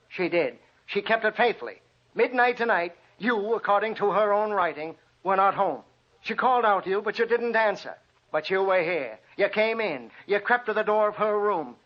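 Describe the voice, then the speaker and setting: A relentless detective confronts a killer in a vintage radio drama. The voice is high for a man, stern and deliberately dramatic, on a muffled old recording. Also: lively intonation. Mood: sternly accusing. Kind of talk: 1940s radio drama acting.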